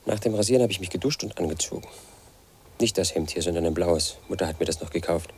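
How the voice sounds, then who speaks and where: Lead in a radio drama: an obsessive, paranoid 38-year-old loner, deep-voiced. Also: male voice, fast talker, darkish tone, husky, full character voice. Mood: brooding. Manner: pedantic, confiding, obsessed with statistics and codes. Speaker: lead actor in a radio play. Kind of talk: first-person radio drama monologue.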